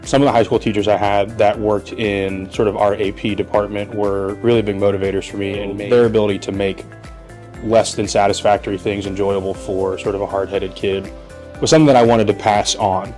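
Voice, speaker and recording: Man, from Indiana, history teacher, articulate, flat delivery. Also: fairly low pitch, faint music behind. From Muncie, Indiana. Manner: articulate, measured, hedges with "sort of". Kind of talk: thoughtful interview answers.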